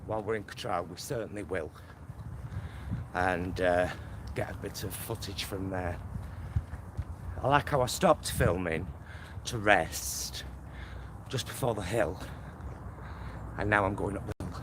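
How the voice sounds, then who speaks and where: A man's voice: sits low, measured pace. A man vlogging on a walk outdoors, chatting casually and meandering, often trailing off. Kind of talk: casual rambling chat to camera. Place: outdoors on a walk near a motorway. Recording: noisy outdoor recording with traffic.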